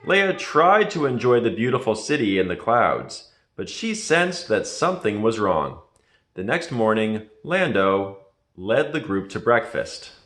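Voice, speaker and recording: A man reading a children's story aloud as a scripted narration, in a dramatic, deliberate manner. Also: lowish voice, sing-song, a bit bright. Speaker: storybook reader. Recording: echoey room.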